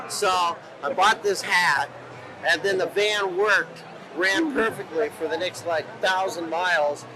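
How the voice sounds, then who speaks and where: Fifties guy telling a story fast, high voice, noisy outdoor recording. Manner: lively, rushing storyteller. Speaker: interviewee. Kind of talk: anecdote.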